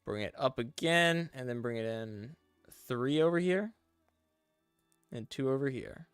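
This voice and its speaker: A man's voice: medium pitch, measured pace, clean tone, no room sound, faint music behind. A young man casually chatting on a live stream, talking through each step as he works and reading chat aloud. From English-speaking. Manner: relaxed, talks through steps as he works, reads chat aloud.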